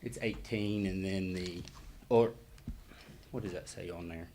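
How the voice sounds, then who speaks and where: Low-voiced engineer guy at a hearing, kinda halting, faint noise behind. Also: steady tone, dark tone. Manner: hesitant, halting. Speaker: engineer for the applicant. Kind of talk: public hearing testimony.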